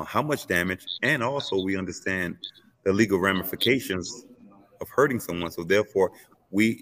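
A man's voice: low voice, a bit bright. A man hosting an interview, asking questions hesitantly with many fillers. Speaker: interview host. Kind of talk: interview conversation. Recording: long hall reverb.

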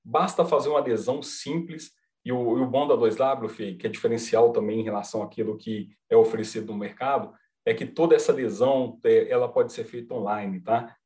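A man from Minas Gerais with a mineiro accent, calmly explaining a service as a podcast guest, checking in with "tá?". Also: medium pitch, fairly quick, few pauses. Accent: Mineiro Brazilian Portuguese. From Minas Gerais, Brazil. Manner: patient, reassuring explainer, many "tá?" checks. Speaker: company representative guest. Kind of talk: podcast interview, explaining a product.

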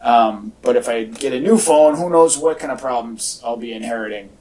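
A male podcast host chats casually at a middling pitch, rambling along with a wry, jokey streak. He sounds set back from the microphone, as in a homemade recording. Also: moderate pace, dark tone. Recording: distant mic, echoey in parts.

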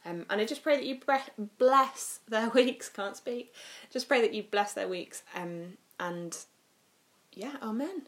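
A woman's voice: a little high, animated, measured pace, fairly bright tone. An English woman co-hosting a casual church chat, giggling and praying informally. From England. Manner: giggly, chatty, informal prayer. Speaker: church co-host. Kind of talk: casual devotional chat and prayer.

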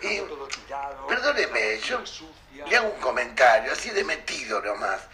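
An actor with an Argentine accent playing a middle-aged, apologetic, meddling cabin owner who consoles hesitantly in an audio drama. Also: male voice, medium pitch, big pitch swings, normal speed, pushed and bright. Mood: consoling. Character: apologetic, meddling older cabin owner offering homespun advice. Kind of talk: naturalistic audio fiction dialogue.

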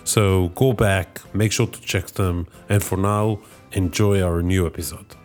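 A man's voice: sits low, steady tone, measured pace, over music. A man hosting a podcast interview, speaking in a polite, hesitant way with many fillers. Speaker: podcast host.

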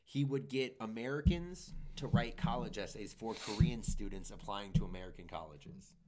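A man's voice: fairly low pitch, normal speed, a little mellow, a little hiss or hum. A young man and comedian chatting casually on a podcast, rambling and swearing freely as he tells stories. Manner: loose, profane, rambling storyteller. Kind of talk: casual chat.

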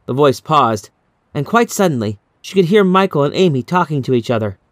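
A man reading a scripted horror story in a measured, dramatic, suspenseful way, as an audiobook narrator. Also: fairly high pitch, expressive, no echo, studio quality.

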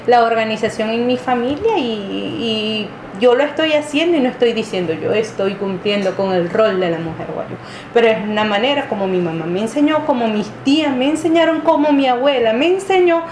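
A woman from Venezuela answers interview questions at length, pausing and restarting as she searches for words. Her voice sits a little high. The recording is roomy, with a clear noise bed behind her. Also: darkish tone. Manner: hesitant, many fillers and restarts. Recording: echoey room with clear background noise.

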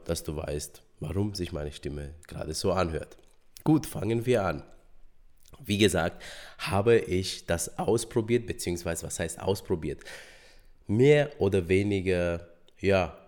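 A man hosting a solo podcast, hoarse from a cold, talking casually with many hesitant filler sounds. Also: low voice, darkish tone. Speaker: podcast host.

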